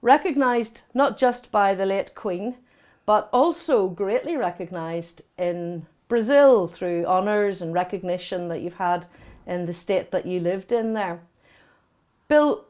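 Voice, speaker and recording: A woman's voice: mid-range voice, darkish tone. A woman, asking encouraging questions in an interview.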